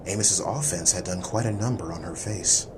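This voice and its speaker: A man's voice: low voice, moderate pace, noisy background. A man reading scripted, dramatic action-fantasy narration as an audiobook narrator.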